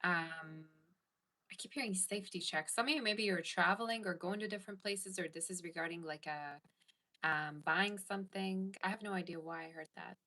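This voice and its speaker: A woman's voice: lowish voice, moderate pace, bright, forceful tone. A woman giving a casual tarot reading to her viewers, chatting and answering comments as she goes. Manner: chatty, reads live chat aloud. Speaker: tarot reader.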